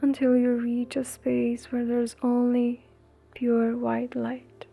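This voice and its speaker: A woman leading a guided meditation in a soothing, breathy, gentle way, leaving long pauses between phrases. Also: fairly high pitch, monotone. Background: faint music.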